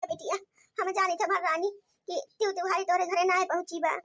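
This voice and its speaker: A woman's voice: very high-pitched, level tone, fairly quick, fairly bright tone. A woman voicing a tender, helpless old village mother with an Awadhi accent, doting and resigned, for a cartoon comedy.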